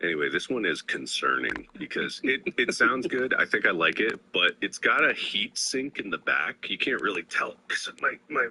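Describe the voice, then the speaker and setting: A man chatting casually as a podcast co-host, a little stuffy and sniffling after an illness, low-key and self-deprecating. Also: very animated, moderate pace, hardly any pauses, bright, forceful tone, a little hiss or hum, low-quality recording. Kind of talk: casual chat. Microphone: new studio microphone.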